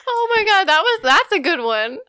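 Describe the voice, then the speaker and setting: A woman's voice: extremely high pitch, very animated, normal speed, bright, forceful tone, dry recording. A young woman co-hosting a podcast, chatting casually with hesitant restarts and giggles. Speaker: podcast co-host. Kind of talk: casual chat.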